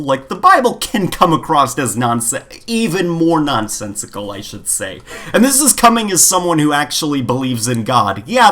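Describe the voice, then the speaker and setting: Bright, forceful-voiced man bantering on a podcast. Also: medium pitch. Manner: rambling, jokey, animated storytelling. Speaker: podcast co-host.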